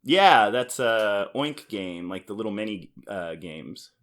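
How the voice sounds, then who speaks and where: Low-voiced man hosting a casual podcast. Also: animated, slow, a bit bright. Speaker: podcast host. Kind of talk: casual chat about board games.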